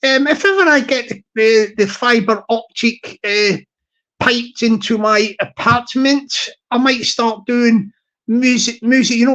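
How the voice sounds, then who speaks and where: A man's voice: very high-pitched, a bit bright, muffled recording. A man chatting casually on his live stream, rambling and chuckling, repeating words and restarting his sentences as he greets viewers. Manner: hearty, upbeat.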